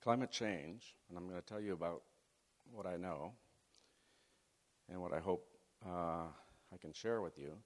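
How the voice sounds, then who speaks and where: A man's voice: low voice, a little slow, pauses often. A middle-aged man raised in Chile giving a folksy, faith-based talk to a student audience. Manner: folksy, leads the audience through an exercise. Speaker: guest speaker. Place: large hall. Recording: long hall reverb.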